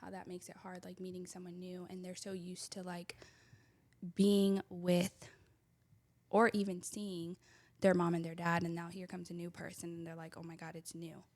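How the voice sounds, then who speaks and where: A soft-spoken young woman chatting casually on a podcast, hesitant, full of fillers and trailing off quietly. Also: fairly low pitch, monotone, normal speed. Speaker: podcast co-host. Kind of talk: casual chat. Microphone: close studio mic.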